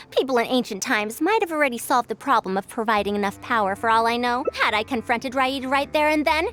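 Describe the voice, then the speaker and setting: A self-assured woman scholar in a video game. Her high voice moves expressively as she lays out her reasoning with crisp, imperious articulation. Soft music sits behind her. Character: shrewd, self-assured female scholar engineer, haughty and analytical. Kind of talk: scripted video game voice acting.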